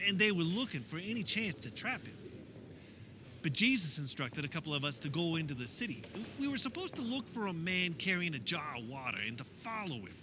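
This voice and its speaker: A man's voice: a little high, background noise, lightly acted. A man playing an earnest fisherman disciple in a religious radio drama, recounting memories in a conversational, wistful monologue. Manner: conversational, wistful storytelling with wondering pauses.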